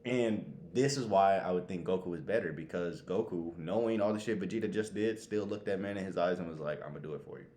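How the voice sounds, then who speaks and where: A man's voice: sits low, fast talker, hardly any pauses, darkish tone. A man co-hosting a podcast, giving blunt opinions in casual, slangy, sweary conversation. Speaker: podcast co-host. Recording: echoey room with faint background noise.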